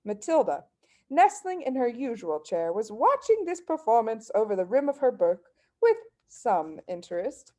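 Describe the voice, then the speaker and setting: High-voiced woman reading a children's story. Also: very animated, normal speed, warm tone. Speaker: story reader. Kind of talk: expressive children's audiobook narration.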